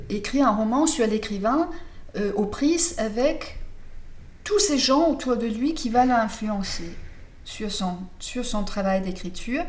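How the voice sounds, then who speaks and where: A woman's voice: mid-range voice, a little mellow. A woman novelist answering interview questions in French, which is not her first language, thoughtful and often restarting as she searches for words.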